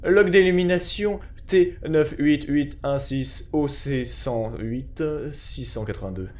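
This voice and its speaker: A man's voice: on the high side, slow pace, a little mellow. A man giving a scripted narration in a formal, clinical report style.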